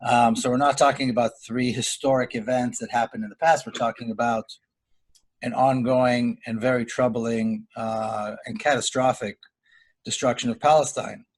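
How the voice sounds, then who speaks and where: Middle-aged man from Israel, panelist on a video call, mid-pitched, measured and earnest. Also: fairly even intonation. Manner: deliberate, earnest, measured delivery. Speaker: panel speaker. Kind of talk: webinar round-table discussion.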